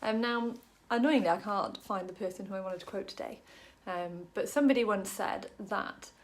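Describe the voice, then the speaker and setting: A woman's voice: medium pitch, normal speed. A woman from Wales giving a casual, chatty Bible study talk to camera, full of ums and light jokes about herself. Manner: chatty, many ums, self-deprecating jokes.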